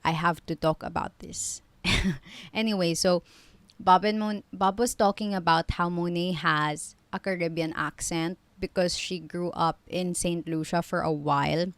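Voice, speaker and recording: A young woman in her twenties talks in a chatty, rambling way, correcting herself and adding quick asides. Her voice sits a little low and sounds smooth and clear, recorded close and dry with no room echo. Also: fairly even intonation. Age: 20s. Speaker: vlogger. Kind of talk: casual chatty vlog.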